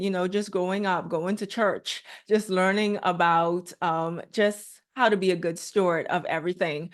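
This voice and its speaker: A woman from the Bahamas with a Bahamian accent, a guest speaking earnestly and steadily with many ums on a video call. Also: medium pitch. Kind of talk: online training call.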